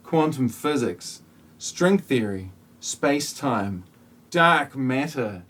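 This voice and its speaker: A man playing a solemn, grandiose messianic figure who judges humanity, speaking in a husky, stilted, portentous lecturing manner. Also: medium pitch, lively intonation, slow, fairly bright tone.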